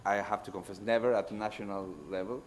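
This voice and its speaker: A man on a university seminar panel, speaking haltingly with many fillers. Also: fairly low pitch, fairly even intonation, slight background noise. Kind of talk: academic panel discussion.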